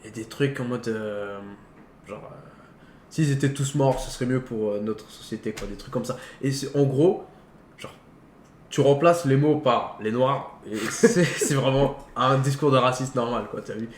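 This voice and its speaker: A man's voice: medium pitch, moderate pace. A man co-hosting a casual film talk, rambling informally in slangy, half-finished sentences. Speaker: co-host of a film talk show. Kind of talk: casual film debate between friends. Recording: echoey room with faint background noise.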